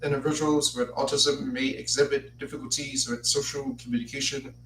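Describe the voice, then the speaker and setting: Man, 20s, stutters with restarts, flat monotone, bright voice, echoey room with mic at a distance. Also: medium pitch, a little fast, a little hiss or hum. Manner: stutters, repeats words and restarts. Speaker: podcast host. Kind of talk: solo podcast talk, partly read aloud.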